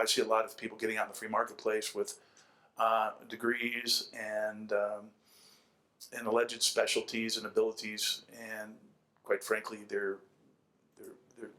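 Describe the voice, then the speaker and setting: A man's voice: on the low side, bright, forceful tone. A man, likely middle-aged, speaking as a panelist, making an extended and opinionated argument and repeating key phrases for emphasis.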